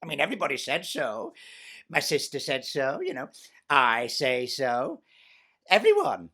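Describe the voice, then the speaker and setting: A man's voice: mid-range voice, huge pitch swings, a little slow, pushed and bright, full character voice. A man voicing a vain, bossy, spiteful stepsister in a chatty, self-satisfied comic pantomime monologue. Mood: disdainful, smug.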